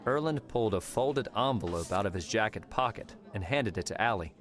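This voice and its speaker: A man's voice: fairly low pitch, animated, a little fast. A man reading scripted story narration as an audiobook narrator.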